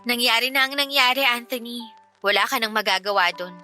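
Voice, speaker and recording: A woman's voice: fairly high pitch, fairly quick, sharp tone, soft background music. A woman playing a self-sacrificing young working woman in a Tagalog radio drama, earnest, emotional and firm. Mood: sorrowful, firm.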